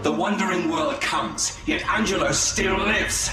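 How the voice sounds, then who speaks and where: A man's voice: sits high, measured pace. A man playing a cunning, haughty alien advisor in a video game, formal and disdainful. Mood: cold, sceptical. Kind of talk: video game cutscene acting. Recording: roomy echo, with music behind.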